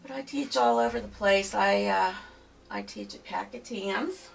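A soft-spoken woman with a Pittsburgh accent, teaching a step-by-step painting tutorial in her home studio and talking unhurriedly as she works. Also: medium pitch, vocal fry. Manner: unhurried, murmurs to herself while painting. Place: home art studio, indoors. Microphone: camera mic from behind, far off. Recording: distant camera mic with faint music.

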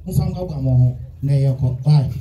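A man's voice: mid-range voice, normal speed, heavy background noise, close to an everyday voice. A man playing a character in a live outdoor village drama, speaking into a handheld mic. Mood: earnest. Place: open palace courtyard, outdoors. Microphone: handheld microphone, heard from camera distance. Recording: echoey, distant handheld mic over PA.

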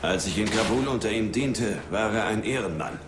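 Man as a low-voiced, resolute army captain. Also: animated, moderate pace, heavy background noise, close to an everyday voice. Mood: grim. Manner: clipped, serious, commanding. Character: tough, resolute army captain leading a squad. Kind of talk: dramatic video game acting.

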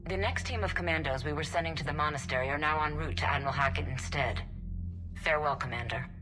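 Woman playing a formal alien diplomat lady in a game, guarded, deep voice, music behind her. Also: quick pace, close to an everyday voice, rough recording. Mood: cool, official. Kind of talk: video game voice acting.

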